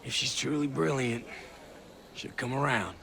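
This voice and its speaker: An actor playing a self-assured male official in a video game cutscene, measured and condescending. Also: medium pitch, gravelly, pushed and bright.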